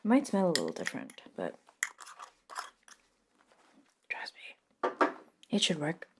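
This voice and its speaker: A woman playing a friendly, reluctant modern witch in a soft-spoken ASMR roleplay, casual, unhurried and slightly wry. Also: deep voice, wildly swooping pitch, vocal fry, exaggerated voice. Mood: absorbed, murmuring. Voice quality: soft, hushed delivery.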